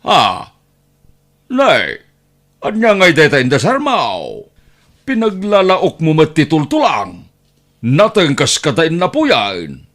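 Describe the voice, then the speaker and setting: A man's voice: a little high, wildly swooping pitch, slow, long pauses, a bit bright, exaggerated voice. A man playing a bossy uncle in an Ilocano family audio drama, with an Ilocano accent. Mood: casual, bossy.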